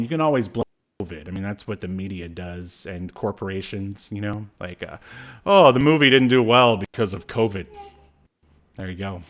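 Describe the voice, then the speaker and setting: A man in his thirties co-hosting a podcast in casual chat, with a jokey, halting delivery. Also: mid-range voice. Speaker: podcast co-host. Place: small room at home, on a video call.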